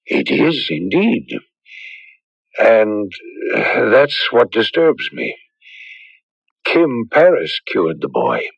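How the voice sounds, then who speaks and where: A thoughtful priest in a radio play, grave and deliberate, with a gravelly edge and expressive swings of pitch. The old broadcast sound is dry and muffled. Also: male voice, dry recording, heavily acted. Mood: grave, concerned. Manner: measured, probing, deliberate. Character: grave, thoughtful male priest counselling a young man. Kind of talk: radio drama acting.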